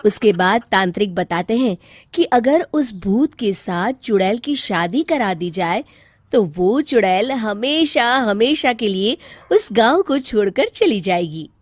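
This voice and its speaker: A woman narrating a cartoon story in an expressive storytelling manner. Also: mid-range voice, unhurried, dry recording, studio quality.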